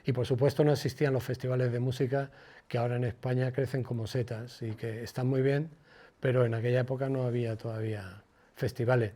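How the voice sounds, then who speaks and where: An older man with a Spanish accent from Spain gives an informal lecture, colloquial and digressive, halting and repeating words as he goes. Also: medium pitch, steady tone, dark tone. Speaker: guest lecturer, former radio presenter. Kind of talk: informal public lecture with personal anecdotes.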